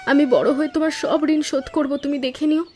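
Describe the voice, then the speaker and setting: A concerned young student sister in an animated story, voiced by a woman. Her very high voice stays flat and even, earnestly pleading over faint music. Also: fairly quick, lightly acted. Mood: grateful, earnest.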